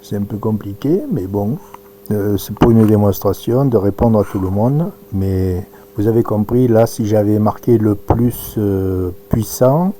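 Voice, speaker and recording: Mellow low-voiced man giving a halting demonstration. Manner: halting, thinking aloud while operating. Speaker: amateur radio demonstrator. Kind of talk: informal live software demonstration. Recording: noisy recording with audible hum.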